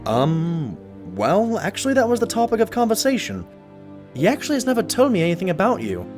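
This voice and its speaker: An earnest pony sidekick for a cartoon, acted by a man. His voice sits fairly high and leaps about excitably as he talks and narrates. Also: a little fast, faint music behind. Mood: surprised, flustered. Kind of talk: animated audio drama dialogue and narration.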